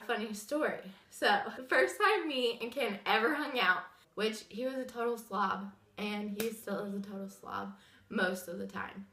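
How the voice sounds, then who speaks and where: Young woman talking while sick, wheezy bright voice, rambly chatty vlog in an echoey room. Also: medium pitch, moderate pace. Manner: chatty, rambling with many fillers. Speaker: vlogger. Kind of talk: casual story time chat.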